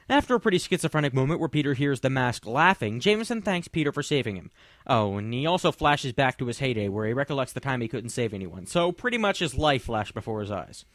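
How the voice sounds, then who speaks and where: A man's voice: fairly high pitch, dry recording, clean studio sound. A young man reading a sarcastic, mocking review of a comic, with snarky asides.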